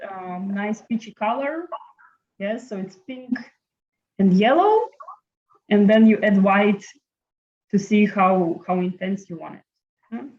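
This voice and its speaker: A woman teaching a friendly live online painting class, chatting in an unhurried way with many fillers. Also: fairly high pitch. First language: Latvian. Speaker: art teacher. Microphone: laptop video call. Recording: slightly muffled video call audio.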